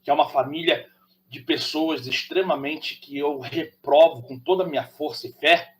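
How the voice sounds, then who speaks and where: A man's voice: fairly high pitch, steady tone, moderate pace. A man from Rio de Janeiro with a Carioca accent, delivering an opinionated monologue as a channel host, preachy and full of rhetorical questions. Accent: Carioca Brazilian Portuguese. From Rio de Janeiro, Brazil.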